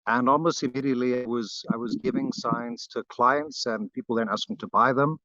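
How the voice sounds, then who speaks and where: A man from Barbados speaks as a podcast guest in an unhurried, modest way, often pausing on fillers and restarting his sentences. His voice sits at an ordinary pitch for a man. The sound is dry and a little dull, like a remote call. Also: pushed and bright, no room sound. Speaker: guest wood carver. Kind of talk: relaxed interview chat.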